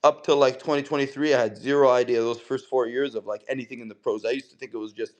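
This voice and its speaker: A man's voice: medium pitch, steady tone, fast, a little mellow, lo-fi sound. A man, a pro boxer chatting casually as a guest in an interview and telling stories in loose, slangy speech. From Canada. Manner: laid-back, slangy storyteller.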